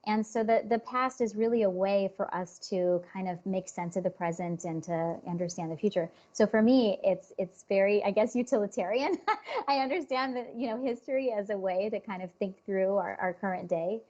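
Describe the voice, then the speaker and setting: Halting woman guest on a lo-fi line. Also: mid-range voice, warm tone. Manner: thoughtful, halting, many restarts. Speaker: interview guest. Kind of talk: casual interview answers. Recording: dull lo-fi sound.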